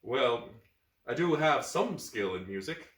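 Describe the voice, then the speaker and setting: A man's voice: sits high, very animated, normal speed, pushed and bright, reverberant, far from the mic, pitched above the performer's own voice, full character voice. A man voicing a brash young warrior boy from the past who speaks in old-fashioned heroic phrases. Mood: bashful.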